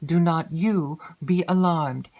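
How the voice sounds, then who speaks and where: A woman voicing a young gentlewoman who speaks softly and earnestly, trying to stay calm. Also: lowish voice, slow, lo-fi sound.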